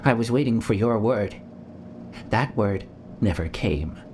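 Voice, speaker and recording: A man playing an anxious, earnest doctor in a sci-fi audio drama, urgent and halting. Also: on the low side, expressive, darkish tone, slight background noise. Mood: uneasy explaining.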